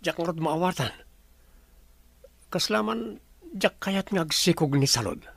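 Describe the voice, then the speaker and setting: Troubled Ilocano businessman, slightly high voice. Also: lively intonation, unhurried, vocal fry, fairly bright tone, breathy edge, no room sound, exaggerated voice. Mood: troubled, defensive. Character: troubled, doubting businessman and boss, uneasy expectant father. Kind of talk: Ilocano radio drama acting.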